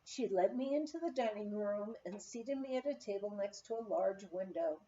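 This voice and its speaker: A woman's voice: medium pitch, animated, moderate pace, warm tone, highs cut off, a little hiss or hum. A woman reading a memoir aloud as narrated storytelling, with expression. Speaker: audiobook reader.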